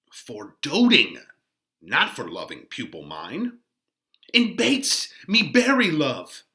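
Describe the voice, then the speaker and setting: Man acting impulsive young Romeo, animated and insistent. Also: fairly high pitch, reverberant, pitched above the performer's own voice, heavily acted. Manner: theatrical, insistent. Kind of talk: dramatic Shakespeare reading.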